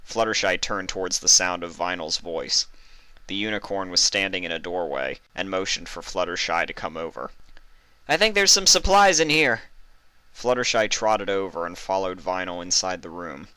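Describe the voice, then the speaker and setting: A man's voice: sits low, steady tone, moderate pace, sharp tone, clear, resonant tone, no room sound. A man reading fan fiction aloud as scripted narration.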